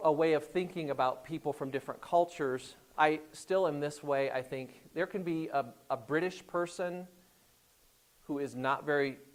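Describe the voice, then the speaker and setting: Middle-aged Indiana man telling classroom stories. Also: mid-range voice. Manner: relaxed anecdotal, frequent hesitations and restarts. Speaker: guest speaker. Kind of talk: classroom storytelling talk.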